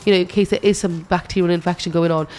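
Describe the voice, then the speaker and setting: A woman doctor with a South Welsh accent, who has a slight cold, giving calm, measured medical advice on a radio show. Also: lowish voice, soft background music. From South Wales. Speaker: doctor guest.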